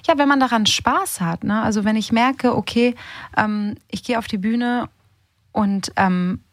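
A woman's voice: a little high, unhurried, dry recording. A woman in her thirties from Hamburg, chatting informally and enthusiastically as an interview guest. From Hamburg, Germany. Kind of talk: casual interview chat. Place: radio studio. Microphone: studio microphone.

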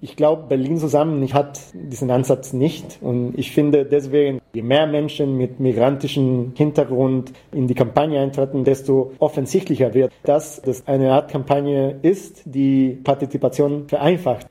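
Very dark-voiced man with Latin American accent. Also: mid-range voice, fairly even intonation. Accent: Latin American Spanish. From Costa Rica. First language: Spanish. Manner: halting, searching for words. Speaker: activist interviewee. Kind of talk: interview answers.

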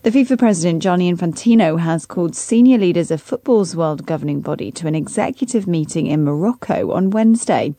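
A woman's voice: on the low side, creaky, mellow, no echo. A woman reading scripted radio news and announcements as a newsreader in a studio. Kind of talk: scripted news bulletin and continuity. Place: dry broadcast studio.

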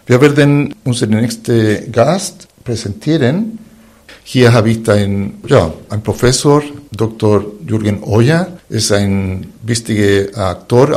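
A man hosting a radio talk show from a studio, introducing guests in a slow, halting, formal way. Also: fairly low pitch, a little mellow.

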